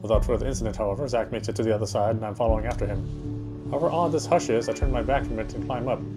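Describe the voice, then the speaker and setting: A man's voice: on the low side, racing, music in the background. A man reading a horror game story aloud as dramatic narration, occasionally stumbling and rereading phrases.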